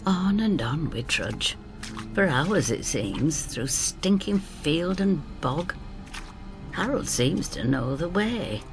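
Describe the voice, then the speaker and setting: An actress playing a plucky, down-to-earth older woman who narrates her adventure in an expressive, wry first-person style. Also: sits low, takes it slowly, music in the background, full character voice. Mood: wry storytelling. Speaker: narrator and lead actor.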